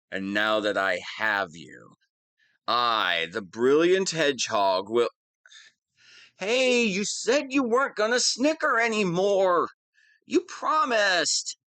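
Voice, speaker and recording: A man's voice: mid-range voice, pushed and bright, dry recording. A man playing a whiny, pompous wannabe supervillain who is easily flustered, in a scripted comedic audio roleplay. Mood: pompous, grandiose, then petulant, whiny.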